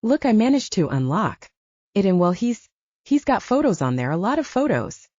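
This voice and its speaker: A woman's voice: mid-range voice, very animated, moderate pace, mellow, dry recording, muffled recording. A woman voicing a young, earnest, protective male soldier in an audiobook, talking in a blunt, casual, urgent way. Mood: disgusted, urgent.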